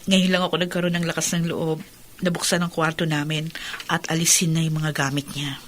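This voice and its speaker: A woman's voice: low-pitched, little pitch movement, fairly quick, slight background noise, close to an everyday voice. An actress with a Filipino accent playing a stoic, dutiful widowed mother in a radio drama, polite and composed. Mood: subdued, reflective. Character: restrained widowed mother, dutiful, stoic middle-aged woman.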